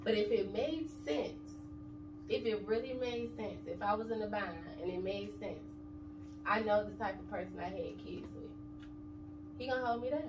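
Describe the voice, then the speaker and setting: A woman's voice: mid-range voice, normal speed. A woman in her thirties, an interview guest talking casually and bluntly about her kids and money. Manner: blunt, streetwise, emphatic. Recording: echoey room, distant mic, noisy background.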